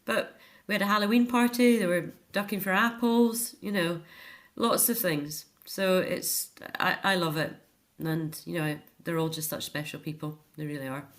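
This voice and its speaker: A woman's voice: lowish voice, fairly even intonation, normal speed, hardly any pauses. A middle-aged woman with a Scottish accent, chatting earnestly and telling anecdotes as a guest in an informal interview. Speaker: interview guest, author and care home activities volunteer or worker. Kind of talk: informal radio interview chat.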